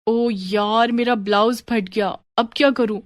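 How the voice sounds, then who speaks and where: A woman voicing an excitable college girl in a story, dramatic and theatrical in manner. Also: on the high side, measured pace, no room sound, lightly acted. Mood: upset.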